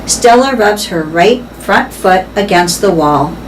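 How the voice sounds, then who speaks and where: A woman reads a children's story aloud at an unhurried pace, giving the narration deliberate expression. Her pitch sits in an average range, and there is faint noise behind her. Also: animated, low-quality recording. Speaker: read-aloud reader for elementary pupils. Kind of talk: children's book read-aloud, scripted narration.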